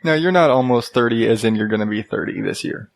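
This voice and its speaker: A man in his early thirties co-hosting a casual podcast chat with wry, deadpan jokes. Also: medium pitch, fast, vocal fry. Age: 30s. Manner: dry, wry joking. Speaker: podcast co-host. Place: home in Arizona.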